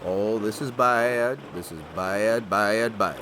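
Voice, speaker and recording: A man acting in a comedy horror audio drama as an anxious, gullible, excitable hillbilly cousin. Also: on the low side, slow pace, noisy background. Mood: dreading. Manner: fretful, excitable.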